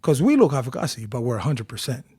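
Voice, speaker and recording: Interview guest: husky man in his forties from Tonga, animated storyteller, dry close mic. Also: mid-range voice, fast, no room sound. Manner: animated storyteller, acts out quotes.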